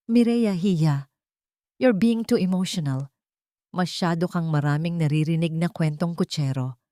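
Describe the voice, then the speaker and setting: A woman narrator plays a scheming old family patriarch in an audio romance drama. He is measured and patronizing, slipping English into his talk, with a bright, close and dry sound. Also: lowish voice, dry recording, lightly acted. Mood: condescending. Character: menacing, condescending old patriarch, smooth manipulator.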